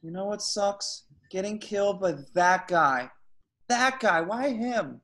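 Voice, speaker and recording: Man acting young male slacker hero, earnest, halting, excitable, comic-book movie table read. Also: high-pitched, exaggerated voice. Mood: resigned. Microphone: video call.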